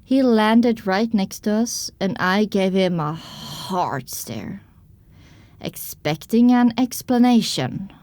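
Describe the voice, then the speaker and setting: A woman reading scripted first-person story narration for an audio drama, with expressive storytelling delivery. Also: medium pitch, takes it slowly, no room sound.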